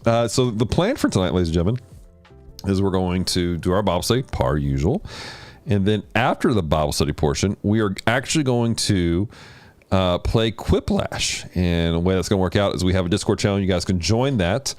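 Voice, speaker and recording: A man hosting a livestream of video games and Bible study, chatting casually with viewers and teaching in an animated way. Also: a little fast, dry recording, soft background music. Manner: animated, jumps in pitch when greeting chat. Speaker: host of a gaming and Bible study stream.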